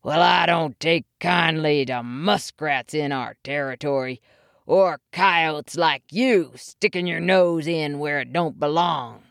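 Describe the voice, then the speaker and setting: Lady doing a grumpy male bear bully, blustery cowboy talk, low, full of himself. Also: slow pace, gravelly, dry recording, clean studio sound, exaggerated voice. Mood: haughty. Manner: blustering, folksy western talk.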